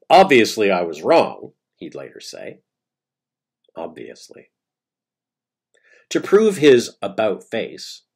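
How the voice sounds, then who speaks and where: A man with a low, slightly husky voice performs a dramatic monologue. His pitch swings widely as he tells the story conversationally. He leans on key words and leaves pointed pauses. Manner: emphatic, rhetorical questions, dramatic pauses. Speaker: playwright reading own monologue.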